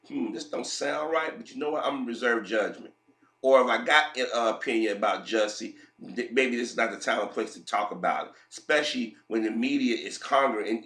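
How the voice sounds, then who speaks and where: A man's voice: mid-range voice, pushed and bright, roomy sound. A man getting over a head cold, giving emphatic commentary with frequent repeats and restarts. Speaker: commentary vlogger. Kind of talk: opinionated commentary.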